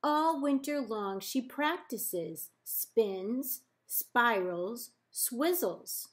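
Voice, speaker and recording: A woman librarian reading a children's picture book aloud in measured, deliberate phrases with pauses. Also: on the high side, lively intonation. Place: indoors, book held up to camera.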